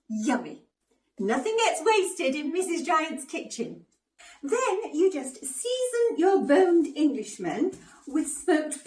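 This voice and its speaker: A woman's voice: sits high, exaggerated voice. Woman playing a chatty giantess in an echoey room. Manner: chatty, self-conscious, muttering asides then loud exclaiming. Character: widowed giantess, flustered amateur cookery vlogger, cheerfully man-eating. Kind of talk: comic monologue as a cookery video. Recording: roomy, echoey, distant microphone.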